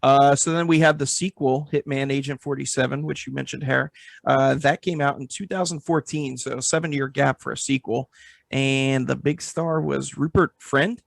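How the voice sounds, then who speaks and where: A man's voice: mid-range voice, steady tone, moderate pace, gravelly, no room sound. A man casually hosting a podcast, introducing each item and passing it to his co-hosts. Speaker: podcast host.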